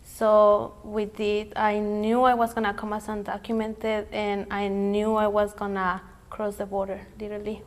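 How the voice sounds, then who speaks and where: A woman from Mexico, a youth immigrant activist, answering interview questions in a careful, halting way. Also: medium pitch, monotone.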